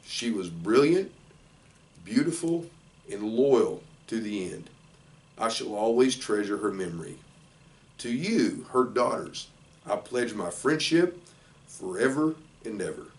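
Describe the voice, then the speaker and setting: A man's voice: lowish voice, lively intonation, slow pace, pauses often. Older man doing a sweet devoted pig from a kids book, formal and earnest, bit far from the mic. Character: gentle, emotional, devoted male storybook pig. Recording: distant microphone in a room.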